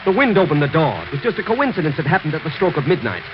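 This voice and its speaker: An actor playing a sober, skeptical doctor, reasoning and admonishing in 1940s radio drama style. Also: male voice, fairly high pitch, very animated, rapid-fire. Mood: agitated denial. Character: sober, skeptical male doctor, concerned family friend. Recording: noisy, muffled 1940s radio recording.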